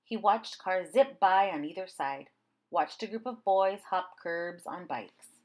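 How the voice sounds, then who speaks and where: A woman reading a children's story aloud in an expressive narrating style. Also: lowish voice, measured pace. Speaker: storybook reader.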